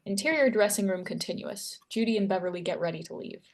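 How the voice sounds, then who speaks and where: A woman's voice: medium pitch, expressive, quick pace, a little mellow. A woman reading stage directions as the narrator of a screenplay table read.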